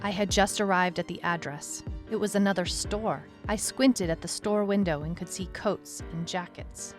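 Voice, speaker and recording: A woman's voice: lowish voice, fairly even intonation, moderate pace, natural voice. A woman playing a wry, anxious detective who narrates her own case in a noir-like audio drama. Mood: doubting, tense. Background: music.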